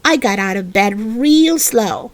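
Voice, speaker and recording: Female children's book narrator: expressive read-aloud, unhurried pace, lively intonation. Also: a little high. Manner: expressive, deliberate storytelling.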